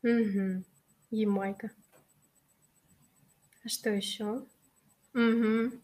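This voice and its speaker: A woman in her thirties, a little hoarse from allergies, hosting a live webinar on a video call and reading out and answering viewers' chat messages in a friendly way. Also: mid-range voice, level tone, extremely slow, a little mellow. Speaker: webinar host. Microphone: webcam on a video call.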